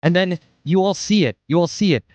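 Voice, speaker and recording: A man's voice: sits high, brisk, darkish tone, no room sound, lo-fi sound. A man narrating a tabletop game as game master, improvising with informal, offhand asides.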